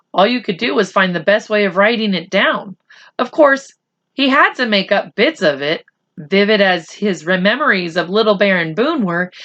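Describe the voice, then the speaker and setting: A woman's voice: medium pitch, fairly bright tone. A woman reading a children's story aloud, breaking off into informal, self-correcting explanations for young listeners. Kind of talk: read-aloud narration with chatty asides to children.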